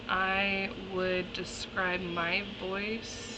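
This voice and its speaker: A young woman and college student recording a class discussion post, hesitant and pausing often with ums. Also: mid-range voice, fairly even intonation, noisy background.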